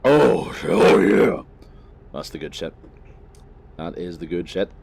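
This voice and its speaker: A man's voice: low voice, animated, slow pace, gravelly, a little mellow, husky. A young Northern Irish man chatting casually over his gameplay, rambling, swearing freely and laughing at himself. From Northern Ireland. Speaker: gaming channel host.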